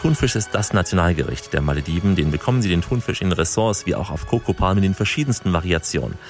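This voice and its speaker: A very low-pitched man delivers scripted travel-report narration. He works as narrator and voice-over translator. Music plays faintly behind him. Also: animated, fast talker.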